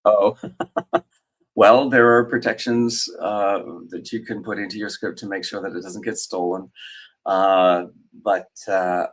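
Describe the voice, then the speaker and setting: A man's voice: on the low side, flat delivery, a little slow. Rambling man hosting a livestream. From Australia. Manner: rambling, hesitant, many fillers and restarts. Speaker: host. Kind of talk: casual livestream chat, reading viewer comments.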